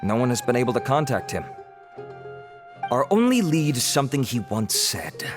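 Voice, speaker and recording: A man playing a calm, courteous city law officer in a video game, speaking in a measured, formal, reassuring way. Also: mid-range voice, lightly acted. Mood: explanatory. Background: game music.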